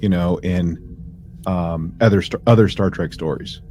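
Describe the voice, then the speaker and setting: A man with a slightly low voice hosts a casual podcast. He talks conversationally and haltingly, feeling his way through sentences. There is faint noise in the recording. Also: warm tone. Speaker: podcast host.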